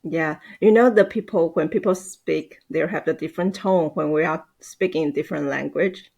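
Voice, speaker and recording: A woman, a Mandarin speaker, chatting on a podcast in halting, hesitant English. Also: low-pitched, vocal fry, a little mellow, husky. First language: Mandarin Chinese. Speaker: podcast guest, team manager. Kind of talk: casual podcast conversation.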